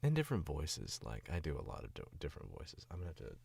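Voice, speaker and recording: A man's voice: extremely low pitch, wildly swooping pitch, fast, creaky, darkish tone, husky. A sick man from the Southern US with a Southern accent, chatting casually and rambling on a stream as a voice actor. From Southern United States. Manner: rambling and distracted, chuckling often. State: sick, voice dropped lower.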